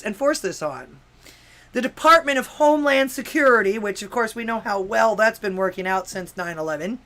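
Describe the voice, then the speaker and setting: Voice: medium pitch, fairly bright tone. A talk-show host from Miami, Florida, giving political commentary between news excerpts and listener comments, with sarcastic rhetorical questions and frequent restarts. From Miami, Florida, USA. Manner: stumbling restarts and many fillers. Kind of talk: political commentary, reading news and chat messages aloud.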